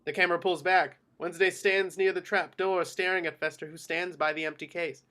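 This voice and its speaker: Fairly high-pitched man reading stage directions. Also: fairly even intonation, brisk, sharp tone. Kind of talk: scripted narration at a table read.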